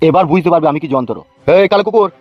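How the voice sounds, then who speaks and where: Bullying cartoon big boss, voiced by a man, rapid swaggering insults, dull lo-fi sound, music underneath. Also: sits high, no echo, natural voice. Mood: swaggering, mocking. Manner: mocking, threatening, swaggering. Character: bullying, foul-mouthed gang boss, swaggering big brother. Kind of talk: animated comedy dialogue. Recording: dull lo-fi track, faint music.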